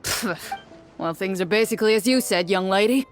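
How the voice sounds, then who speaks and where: A woman voicing a gruff, greedy, cynical male merchant in a video game, curt and dismissive. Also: mid-range voice, normal speed, soft background music, voice pushed down, lightly acted. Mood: cynical, grudging. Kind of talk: video game character acting.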